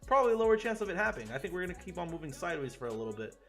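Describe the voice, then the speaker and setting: A man from Chile, a forex trader chatting casually and excitably with his live viewers, swearing freely. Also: high-pitched, rapid-fire. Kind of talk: casual livestream commentary. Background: faint music.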